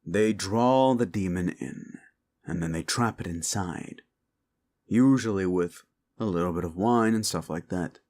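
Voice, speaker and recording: A man playing a gruff, world-weary demon agent who hunts monsters, with a terse, laid-back, wry drawl, in an action-horror audio drama. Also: sits low, measured pace, darkish tone. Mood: relaxed, wry.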